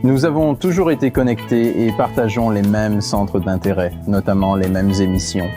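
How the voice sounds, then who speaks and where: Affectionate young groom, voiced by a man, dubbed reality testimonial, clear music underneath. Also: on the low side, moderate pace, close to an everyday voice.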